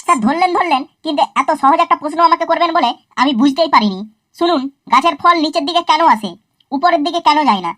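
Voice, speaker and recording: A woman voicing a cheeky, foul-mouthed young schoolboy in a cartoon, talking in a quick, sassy and crude way. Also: high voice, bright, forceful tone, no echo, clean studio sound. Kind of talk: cartoon voice acting.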